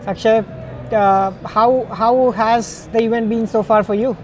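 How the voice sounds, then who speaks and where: A man's voice: sits high, steady tone, a little slow, a little hiss or hum. A man interviewing a guest conversationally, affirming and recapping points.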